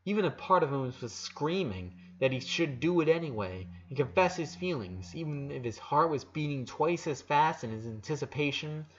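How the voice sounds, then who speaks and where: A man's voice: on the high side, expressive, moderate pace, hardly any pauses, husky. Man narrating emotively, slightly dull recording. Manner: emotive, with stumbles and restarts. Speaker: narrator reading fan fiction aloud. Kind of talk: dramatic scripted narration.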